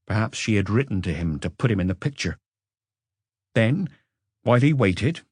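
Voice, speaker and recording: Expressive male audiobook narrator, very dry sound. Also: medium pitch, no room sound. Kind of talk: scripted literary narration.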